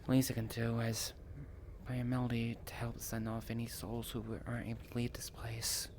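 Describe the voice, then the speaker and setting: A man's voice: medium pitch, completely flat delivery, brisk. A man improvising in an online roleplay and narrating his actions in a hesitant way, speaking into a headset. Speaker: roleplay player. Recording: slightly noisy headset voice chat.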